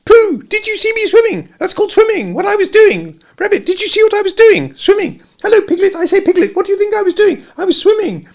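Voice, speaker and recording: A man voicing Roo, a small excited boy kangaroo with a squeaky voice. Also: fairly quick, few pauses, heavily acted.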